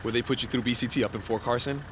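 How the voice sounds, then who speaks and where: A man's voice: medium pitch, fairly even intonation, rapid-fire, close to an everyday voice. An actor playing a suspicious, confrontational male security guard in an audio drama, blunt and streetwise, with music and vehicle noise behind. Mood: probing. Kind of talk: audio fiction acting.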